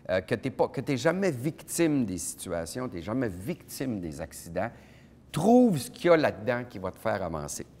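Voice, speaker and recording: A middle-aged man with a Québécois French accent giving a lively conference talk, telling anecdotes with punchy sound words. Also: medium pitch, moderate pace. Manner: animated storyteller with sound words. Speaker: keynote speaker. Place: event hall at a winery. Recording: long reverb of a hall.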